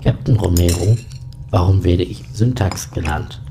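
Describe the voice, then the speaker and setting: A man's voice: low voice, unhurried, background noise. A man playing a polite, inquisitive, literal-minded ship's computer with formal, precise speech in a German-made sci-fi audio drama. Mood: curious, formal.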